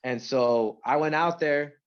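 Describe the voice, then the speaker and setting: A man from Mexico and Central California, a rapper, chatting casually in an interview with a halting, repetitive delivery full of filler phrases. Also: on the high side, clear, resonant tone, highs cut off. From born in Mexico, grew up in Central California. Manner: halting, repeats words, frequent "you know" and "like".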